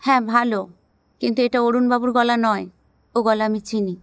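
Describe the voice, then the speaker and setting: A woman's voice: a little high, steady tone, normal speed, no echo, lightly acted. An actress playing a detective's cheeky, impatient, curious female companion in an audio drama, teasing and questioning.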